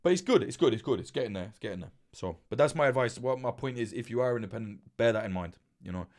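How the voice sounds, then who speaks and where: A man's voice: mid-range voice, animated, fast talker, a little mellow. A man hosting a casual livestream and answering viewer questions, talking frankly, swearing freely and rambling with restarts, into a desk mic at his laptop. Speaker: livestream host giving journalism advice. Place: indoors at a laptop with webcam. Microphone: desk mic through a mixer.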